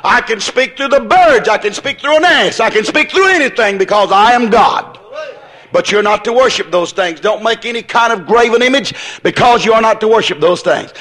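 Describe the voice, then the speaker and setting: Male preacher, very high-pitched and forceful, folksy and emphatic, delivering an impassioned sermon. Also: expressive, normal speed. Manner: emphatic, repetitive, building phrases into shouts; folksy, colloquial phrasing. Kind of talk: impassioned sermon with acted-out dialogue.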